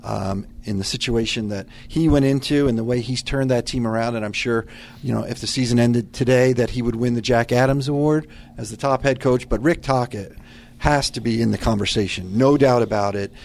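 A man's voice: medium pitch, steady tone, fairly quick, gravelly, a little mellow, slight background noise. A man giving conversational sports commentary in an emphatic, articulate way.

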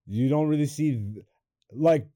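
A young man hosting a comedy podcast in a studio, chatting loosely with lots of swearing, swinging between loud hype and low mumbles. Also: measured pace, dry recording. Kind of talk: casual podcast chat.

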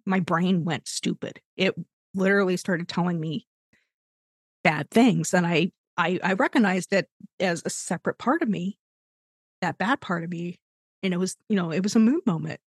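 A woman's voice: fairly low pitch, normal speed, slightly rough, no room sound, clean studio sound. A woman in her forties chatting on a podcast in a rambling, reflective way, with lots of "you know.". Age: 40s. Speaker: podcast co-host and tarot deck designer. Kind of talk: casual chat.

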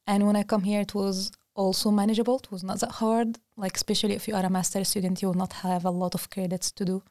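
A woman talks as a podcast guest, her voice warm and mellow. She speaks in a chatty, loose way and leans on "like" as a filler. The recording is dry and close. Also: medium pitch, level tone, fast, dry recording. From Egypt. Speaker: guest, engineering student. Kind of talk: casual interview chat.

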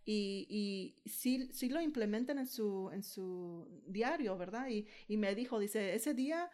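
A woman's voice: medium pitch, animated, slow, darkish tone. A woman co-hosting a podcast as a dental hygienist, explaining patient care conversationally and slipping into English now and then. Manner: explains with many tag questions, mixes in English. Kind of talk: conversational interview.

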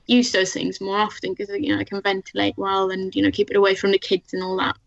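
Guest: Swedish-born woman, giggly, gravelly, slightly dull remote sound. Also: mid-range voice, monotone, fast. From Sweden. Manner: giggly, laughs often. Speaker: guest artist. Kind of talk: casual chat.

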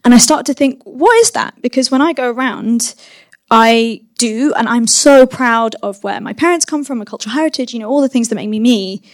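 Young woman, thoughtful, slightly high. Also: fairly quick. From London, UK. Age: young adult. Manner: thoughtful and circling, with many restarts and repeated "again". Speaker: film director. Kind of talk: reflective interview answer.

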